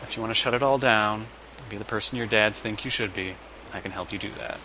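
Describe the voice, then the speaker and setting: Low-voiced weary, compassionate psychiatrist played by a man. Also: quick pace, highs cut off, noisy background, lightly acted. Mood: earnest, quiet. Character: world-weary compassionate male psychiatrist, haunted by his past. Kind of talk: audio drama monologue.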